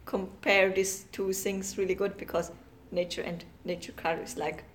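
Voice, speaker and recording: A woman's voice: mid-range voice, little pitch movement, moderate pace, a little hiss or hum. A young woman from Liechtenstein, co-hosting a teacher podcast, talking eagerly with restarts and fillers.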